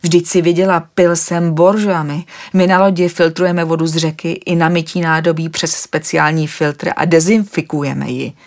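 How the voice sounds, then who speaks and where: A woman's voice: deep voice, level tone, few pauses. A woman reading aloud, voicing a tired, agitated man who fires off indignant questions in a raised voice. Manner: loud, exasperated questioning.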